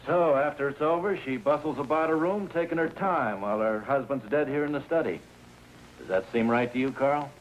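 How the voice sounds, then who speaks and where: Male actor as police detective, measured, sardonic, probing, mid-pitched, vintage TV crime drama, faint noise. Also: highs cut off, natural voice. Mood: dry, probing. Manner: measured, dry, probing questioning. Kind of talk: 1950s television crime drama acting. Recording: noisy 1950s TV soundtrack.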